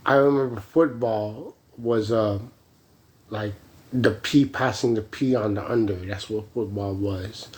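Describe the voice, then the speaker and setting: An American man in his forties rambling casually in a halting, slangy, meandering way. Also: mid-range voice, a little mellow. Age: 40s. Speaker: vlogger.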